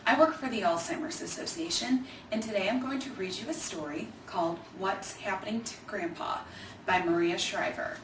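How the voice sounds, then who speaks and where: A woman reading a children's story aloud in an expressive, measured way, with emphasized phrases. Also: mid-range voice. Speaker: Alzheimer's organization staffer reading a children's book. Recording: echoey room, distant mic, faint noise.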